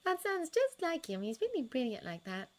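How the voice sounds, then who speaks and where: Woman voicing a nervous, soft-spoken young woman, higher than her narration, uncertain delivery. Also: wildly swooping pitch, normal speed. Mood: shy. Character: shy, nervous young woman, hospital worker.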